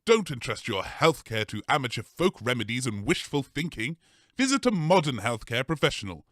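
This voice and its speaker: A man's voice: medium pitch, very animated, normal speed, a bit bright, no echo, clean studio sound. A man playing an earnest advert announcer in a parody radio commercial, with a salesmanlike manner. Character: earnest male advert announcer for a dubious clinic.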